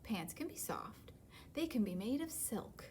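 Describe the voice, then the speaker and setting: A woman's voice: a little high, sing-song, unhurried, darkish tone, in a whisper. A woman voicing a stubborn, wishful panda in a children's picture book, with insistent, childlike pleading. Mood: hopeful, wishful.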